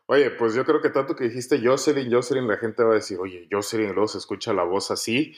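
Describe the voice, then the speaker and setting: A man, a podcast guest telling his life story in a relaxed, casual way. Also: mid-range voice, quick pace. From Mexico.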